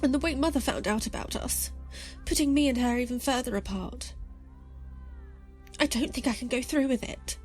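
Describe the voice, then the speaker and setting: High-voiced, troubled young woman, played by an actress. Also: moderate pace, darkish tone, faint music behind, lightly acted. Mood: upset, resolute. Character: troubled young woman student, torn but resolute. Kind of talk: audio drama acting.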